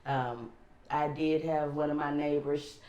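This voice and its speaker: Low-voiced Los Angeles woman, rambling. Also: a little slow, reverberant, lo-fi sound, slight background noise. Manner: relaxed, rambling with many ums. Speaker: co-host. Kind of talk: casual chat.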